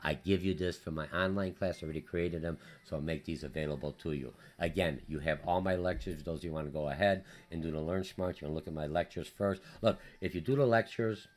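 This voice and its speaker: Fast-talking Polish-accented male instructor, very low voice. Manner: hurried, energetic, repetitive with many fillers. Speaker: college business instructor. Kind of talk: recorded course update lecture.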